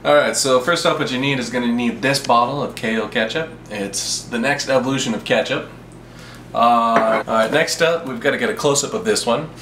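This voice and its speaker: A young man hosting a mock cooking show in his home kitchen, telling absurd made-up facts about the food in a deadpan way. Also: mid-range voice, moderate pace, over music. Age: young adult. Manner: deadpan, absurd tall tales told straight. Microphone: camera mic from a few feet away. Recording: echoey kitchen, distant camera mic, noisy.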